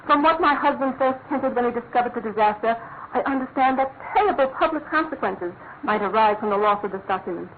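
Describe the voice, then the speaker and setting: An actress plays an anxious, dignified lady of high standing in a radio mystery. Her voice is a little high, formal and controlled. The recording is narrow and tinny with faint noise. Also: fast, close to an everyday voice. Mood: anxious, probing. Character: anxious, dignified upper-class politician's wife. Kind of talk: classic radio mystery drama acting.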